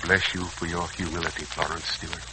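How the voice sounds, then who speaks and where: An older man playing a kindly, philosophical uncle in a 1940s radio serial, speaking in a measured, folksy, moralizing way. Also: sits low, level tone, lightly acted. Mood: hearty, warm. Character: kindly, philosophical elderly uncle, gently reassuring. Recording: crackly, hissing 1940s radio recording.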